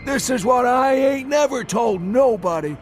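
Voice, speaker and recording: High-pitched, drawling old drunken seaman. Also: animated. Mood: conspiratorial, ominous. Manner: conspiratorial, folksy, drawn-out delivery. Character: old drunkard weather-beaten seaman, secretive, rambling. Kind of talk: dramatic audiobook reading.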